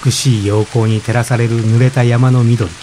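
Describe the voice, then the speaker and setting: Actor as polite, philosophical husband, faint hiss. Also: male voice, mid-range voice, little pitch movement, moderate pace, lightly acted. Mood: gently reflective. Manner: polite, gentle, reflective. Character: polite, gentle expectant father, philosophical and self-effacing. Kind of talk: radio drama dialogue. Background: rain.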